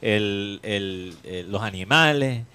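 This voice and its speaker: A man's voice: fairly low pitch, slow, bright, forceful tone, clean tone. A man with a Cuban accent, co-hosting a casual chat show and telling stories in a playful, joking way. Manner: playful storyteller, given to jokes. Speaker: co-host.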